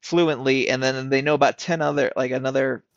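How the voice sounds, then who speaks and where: A young man with a gravelly, fry-tinged voice talks hesitantly and stops to correct himself. His voice comes through a dull, lo-fi mic with a dry sound. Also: medium pitch, fairly even intonation, no echo. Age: young adult. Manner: hesitant, halting, self-correcting. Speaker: podcast co-host. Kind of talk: casual chat.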